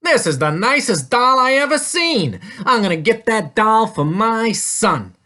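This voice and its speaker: A folksy man shopper in a story as a woman storyteller acts him out, loud and easily excited. The pitch jumps high and swings widely. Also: measured pace, exaggerated voice. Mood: pleased, decisive.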